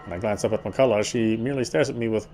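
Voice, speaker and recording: A man's voice: fairly low pitch, quick pace, dark tone, faint music behind. A man reading a game's story narration aloud in a dramatic storytelling style.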